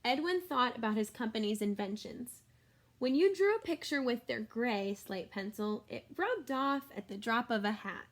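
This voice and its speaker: High-pitched woman reading aloud to children. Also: normal speed. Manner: expressive, explanatory, addresses young listeners directly. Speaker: schoolteacher reading a picture book aloud. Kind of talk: read-aloud for children with chatty asides.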